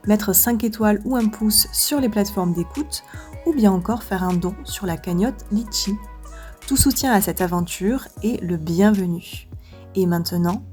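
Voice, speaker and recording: A woman podcast host reads a calm, scripted introduction in a mellow, breathy voice. Faint music sits underneath her words. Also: medium pitch.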